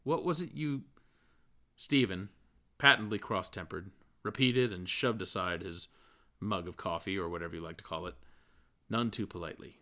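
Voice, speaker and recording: Bright-voiced male audiobook reader. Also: sits low, moderate pace. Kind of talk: literary audiobook narration.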